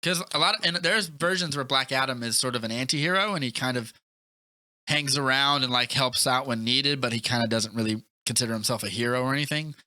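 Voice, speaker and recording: A man chatting casually and enthusiastically about films as a podcast co-host, rambling excitedly with lots of "like". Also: medium pitch, brisk, no room sound. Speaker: movie review podcast co-host. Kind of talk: casual enthusiastic film chat.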